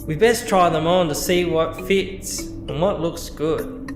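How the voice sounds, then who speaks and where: A man's voice: on the high side, slow pace. A man playing an eager young male student time traveller in an amateur audio drama. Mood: upbeat, curious. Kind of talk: fan audio drama acting. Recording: roomy echo, music underneath.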